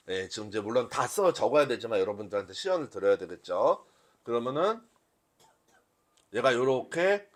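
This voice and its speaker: Man teaching with very lively pitch. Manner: conversational teaching, asks and answers own questions. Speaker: workshop instructor. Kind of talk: live software demonstration to a class.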